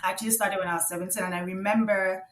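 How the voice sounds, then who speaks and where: A woman, chatting as an interview guest in a lively, rambling way with frequent laughs. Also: mid-range voice, very fast. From Nigeria (Lagos). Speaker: guest, company co-founder. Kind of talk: casual interview chat.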